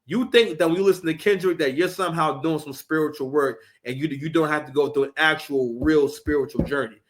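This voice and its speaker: High-voiced man in a profane rant. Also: brisk. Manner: ranting, profane, repetitive restarts. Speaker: commentary show host. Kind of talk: heated reaction commentary.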